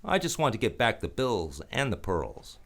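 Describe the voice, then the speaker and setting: An actor playing a wry, weary hardboiled detective in a radio drama, talking conversationally with some hesitation. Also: male voice, on the low side, huge pitch swings, normal speed, a bit bright, full character voice. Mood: casual, persuasive. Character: wry, weary male private detective narrating his case.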